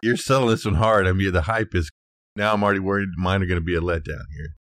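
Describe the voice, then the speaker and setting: A man's voice: low-pitched, quick pace, fairly bright tone, dry recording, clean studio sound. A man co-hosting a podcast in casual chat, with a wry, understated way of putting things. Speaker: podcast co-host.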